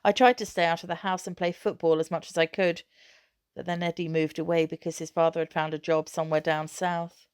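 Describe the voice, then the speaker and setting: Lower-pitched woman reading a storybook. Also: level tone, a little fast, smooth, no room sound, clean studio sound. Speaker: storybook reader. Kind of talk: expressive scripted narration of a children's novel.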